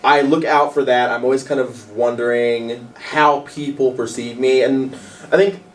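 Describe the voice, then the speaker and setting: A man with a deep voice, talking candidly on a radio panel, hesitant and full of "like" and restarts. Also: fairly even intonation, a little slow, distant mic, rough recording. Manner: hesitant, many fillers and restarts. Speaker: panel guest. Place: radio studio.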